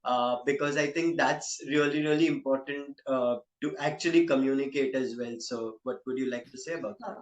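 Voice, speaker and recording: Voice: mid-range voice, steady tone, moderate pace. A podcast host asking questions conversationally in short, hesitant phrases. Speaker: podcast host interviewing experts. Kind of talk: conversational interview. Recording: roomy, echoing room sound.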